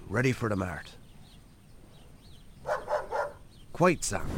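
An actor with an Irish accent playing a weary, lonely farmer in a naturalistic radio drama, plain-spoken and wry. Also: male voice, fairly low pitch, a little hiss or hum. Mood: weary, muttering.

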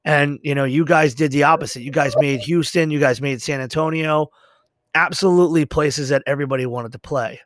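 A middle-aged man hosts a podcast interview in a slightly high voice. He talks earnestly and informally, leaning on his points with emphasis. The sound is dry and free of room echo. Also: a little fast. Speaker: podcast host. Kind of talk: conversational interview.

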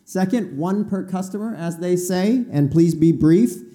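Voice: mid-range voice, a little slow, mellow. A moderator giving the audience instructions at a public forum in orderly, clipped phrases.

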